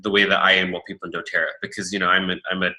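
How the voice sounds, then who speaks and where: Team leader guy with a big loud voice, super bright and sharp, a bit low. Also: flat delivery, very fast. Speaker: team leader presenting a training. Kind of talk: casual workshop talk.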